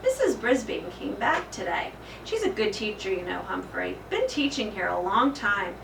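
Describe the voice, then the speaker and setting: A woman's voice: medium pitch, very animated, normal speed, creaky. A woman voicing a big, hearty, jovial male night janitor who jokes exuberantly in a children's storybook. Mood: chatty. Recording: roomy echo, distant mic, faint noise.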